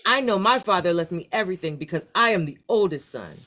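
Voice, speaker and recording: Bossy storybook eldest brother, played by a woman, young man, assertive, lifted animated pitch. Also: fast. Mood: boastful, grabby.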